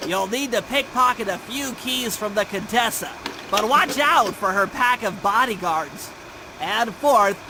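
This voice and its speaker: An actor playing a nerdy, careful young turtle gadget genius in a video game, nasal and precise. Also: male voice, high-pitched, background noise. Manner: precise, earnest, bookish briefing. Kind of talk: animated video game cutscene acting. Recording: loud music under the voice. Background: game music.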